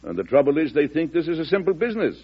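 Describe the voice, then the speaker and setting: An actor playing a weary but firm older US President, stubborn and folksy, in old-time radio drama style. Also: male voice, a little high, big pitch swings, very fast, breathy edge. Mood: troubled, matter-of-fact. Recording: dull vintage radio recording.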